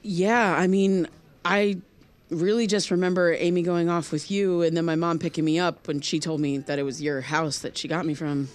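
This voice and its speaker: A woman playing an awkward, guarded young loner in naturalistic audio drama, speaking in a hesitant, halting way. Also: lowish voice, level tone, close to an everyday voice. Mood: puzzled.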